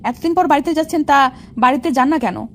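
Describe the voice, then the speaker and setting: A woman's voice: high voice, fast. A woman playing a shy, wary young college student in an audio love story. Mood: polite, friendly. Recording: clear background noise.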